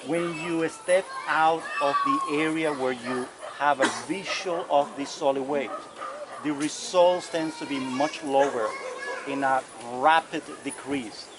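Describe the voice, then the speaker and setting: A soft-voiced man who struggles to project, giving a measured, formal technical explanation as a county official at a public meeting. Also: on the high side. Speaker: county environmental official answering questions. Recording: noisy public meeting, loud ambient noise.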